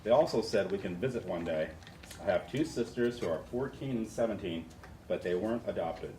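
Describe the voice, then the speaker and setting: A roomy, distant recording of a man reading a character profile aloud. His voice is on the low side. He is an ordinary participant reading from a text to a group. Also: animated, a little fast, mellow. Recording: roomy, distant mic, faint noise.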